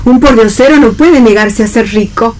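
A woman's voice: on the high side, normal speed, darkish tone, natural voice, poor audio. An actress playing a knowing, outspoken woman in a theatrical play reading on radio. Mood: knowing, insistent. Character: knowing, outspoken woman who defends love. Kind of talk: read-theatre stage acting on radio. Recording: clear background noise, room ambience.

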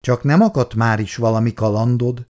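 A man's voice: medium pitch, a little mellow, natural voice. A man voicing a friendly, teasing young man reassuring a newcomer from the village.

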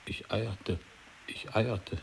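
An actor answering every question twice in a call-and-response radio play. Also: male voice, on the low side, level tone, extremely slow, gravelly, heavily acted, rough recording. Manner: repeats each answer twice. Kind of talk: sound-poetry call and response.

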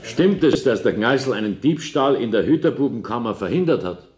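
An actor playing a stern, authoritative presiding judge in a radio play, questioning formally. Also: male voice, medium pitch, lively intonation, moderate pace, darkish tone, natural voice. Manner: formal, interrogating. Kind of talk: German radio play courtroom acting. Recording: echoey 1970s radio drama studio.

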